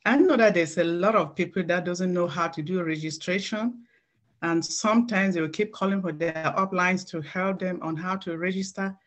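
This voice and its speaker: Lady, middle-aged, earnest halting testimony, presenting a training. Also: on the low side. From Cameroon.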